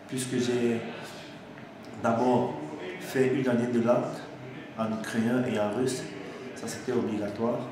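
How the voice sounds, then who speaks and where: Young man, student testimonial, halting, repeats words, mid pitch, monotone, very echoey, background noise. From Cameroon. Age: young adult. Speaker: engineering student giving a testimonial. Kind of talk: unscripted testimonial to camera.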